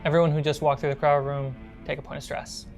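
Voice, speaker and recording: Woman game master with a low voice, kinda halting narration, faint music behind her. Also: little pitch movement, a little mellow. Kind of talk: improvised narration of a tabletop role-playing game. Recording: background music under the voice.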